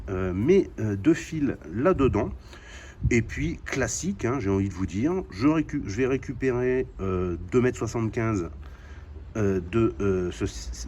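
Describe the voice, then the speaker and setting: A man's voice: mid-range voice, darkish tone, slight background noise. A man hosting a hobby DIY video, chatting informally and thinking aloud as he demonstrates by hand. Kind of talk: casual hands-on demonstration.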